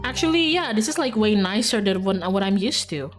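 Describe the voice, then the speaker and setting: A woman's voice: medium pitch, lively intonation, brisk. A woman streaming a game, reading aloud and dropping in casual, chatty asides. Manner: reads narration, breaks into chatty informal asides. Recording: background music. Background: game music.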